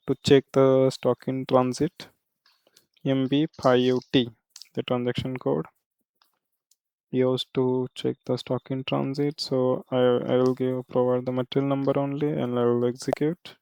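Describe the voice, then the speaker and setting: A man working as a software trainer, explaining a screen walkthrough step by step in a halting way with repeated check-in tags. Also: medium pitch, little pitch movement, vocal fry, smooth, no room sound.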